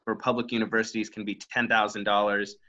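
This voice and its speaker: A man hosting an interview, asking prepared, deliberate questions. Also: fairly low pitch, little pitch movement, pushed and bright. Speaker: podcast host. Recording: dull lo-fi sound.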